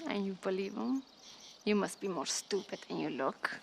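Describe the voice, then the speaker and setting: A woman's voice: medium pitch, expressive, moderate pace, gravelly, a little hiss or hum. An actress playing a tough, mocking woman from a frontier town in a western video game cutscene, full of contempt. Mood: scornful, mocking.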